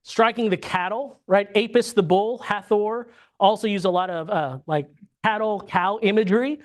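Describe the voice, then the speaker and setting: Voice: mid-range voice, moderate pace. Bible class teacher from the States, conversational lesson, keeps checking in with the class and restarting sentences. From United States. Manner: checks in with frequent tag questions; restarts and repeats words mid-sentence.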